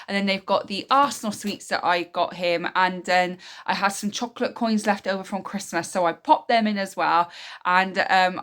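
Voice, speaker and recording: A woman's voice: lowish voice, a bit bright. A woman, chatting casually in a weekly vlog, a bit nasal and bunged up from a cold. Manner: chatty, rambling, self-explaining. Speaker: vlogger, single mum.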